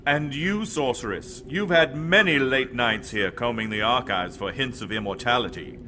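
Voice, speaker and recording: A man playing a centuries-old, morbid Dark Lord in an audio drama, speaking formally and savoring his words. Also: medium pitch, normal speed, background noise, close to an everyday voice. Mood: haughty curiosity.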